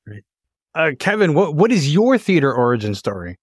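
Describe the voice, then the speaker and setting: A man's voice: a little high, big pitch swings, moderate pace, husky, no room sound. Male interviewer with a dry sound. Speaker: interview host. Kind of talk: conversational interviewing.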